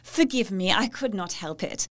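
A woman's voice: mid-range voice, animated, normal speed, a bit bright, natural voice. An actress playing a polite, devoted young Victorian wife in a gothic audio drama, formal and heartfelt, as if telling her diary. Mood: polite, modest. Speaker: actress narrating and playing dialogue.

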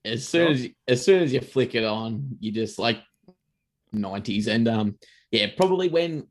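A man's voice: mid-range voice, animated, moderate pace. A man with an Australian accent co-hosting a podcast, easygoing and thinking aloud. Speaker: podcast co-host. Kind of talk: casual podcast chat.

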